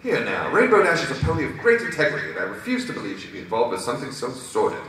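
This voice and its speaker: A man's voice: mid-range voice, huge pitch swings, rapid-fire, a bit bright, heavy reverb, recorded from a distance, a little hiss or hum, pitched above the performer's own voice. A man voicing a prim, anxious female pony lawyer with refined, formal and indignant diction at a script reading.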